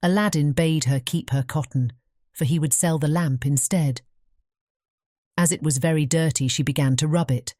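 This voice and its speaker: A woman narrating a bedtime fairy tale as a measured, careful scripted storybook reading. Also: deep voice, darkish tone, dry recording, studio quality.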